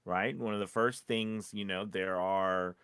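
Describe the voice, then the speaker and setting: A man's voice: low voice, steady tone, unhurried, fairly bright tone, clean tone, no echo, clean studio sound. A man co-hosting a casual livestream chat, speaking in an informal, enthusiastic way. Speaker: host.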